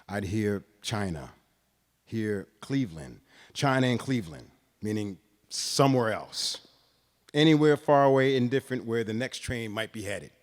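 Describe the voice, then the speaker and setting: A man reads a literary story aloud in a deliberate, expressive way, pausing between phrases. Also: fairly low pitch. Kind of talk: dramatic literary reading.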